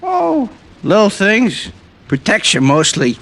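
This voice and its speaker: A man's voice: high-pitched, huge pitch swings, takes it slowly, creaky, a bit bright, heavily acted. A man playing a tough American protection-racket thug in an old radio crime drama, curt and threatening. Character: menacing male protection-racket thug, the boss of two.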